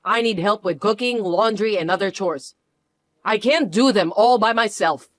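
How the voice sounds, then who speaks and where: A voice actor playing a selfish, demanding older mother-in-law who talks in a shrill, indignant way. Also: normal speed, no room sound, lightly acted. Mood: wheedling. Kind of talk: dramatized story reading.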